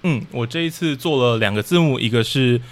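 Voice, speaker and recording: A man's voice: medium pitch, a little fast. A man with a Taiwanese Mandarin accent, a podcast guest explaining things in casual interview talk. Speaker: podcast guest, audio drama troupe leader.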